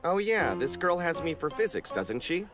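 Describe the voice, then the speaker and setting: A man's voice: fairly high pitch, very animated, moderate pace. An actor in an anime dub playing an eccentric, self-absorbed young male teacher with a theatrical manner. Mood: reluctant. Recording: loud music behind.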